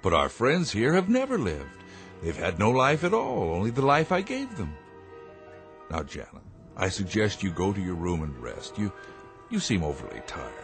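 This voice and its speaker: A man's voice: fairly low pitch, huge pitch swings, moderate pace, music in the background. An actor playing a stern, controlling inventor father in a radio drama, speaking with formal, commanding precision. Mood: proud, controlled.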